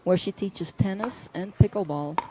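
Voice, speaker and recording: Female co-commentator from Belgium, agreeable and explanatory, mid pitch, noisy court sound. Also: moderate pace. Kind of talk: live sports commentary.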